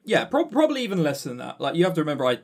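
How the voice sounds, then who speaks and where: A man's voice: a little high, huge pitch swings, racing, creaky. A man with a southern English accent chatting casually as a podcast co-host, blunt and emphatic, given to swearing. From Brighton, England. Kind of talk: casual opinionated podcast chat.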